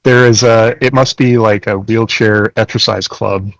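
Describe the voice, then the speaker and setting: A man's voice: lowish voice, fairly even intonation, measured pace, dry recording, rough recording. A man co-hosting a podcast, casually chatting and putting questions to his colleague. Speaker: podcast co-host asking questions. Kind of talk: casual conversational interviewing.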